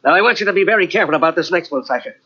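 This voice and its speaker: An actor playing a brisk police lieutenant with dry wisecracks, in a 1940s radio drama. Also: male voice, fairly high pitch, lively intonation, bright, forceful tone. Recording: dull lo-fi old radio recording.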